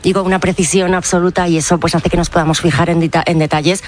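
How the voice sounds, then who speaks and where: A woman's voice: lowish voice, steady tone, quick pace, a little hiss or hum. A woman giving conversational live commentary as a radio contributor, often hesitating and restarting phrases.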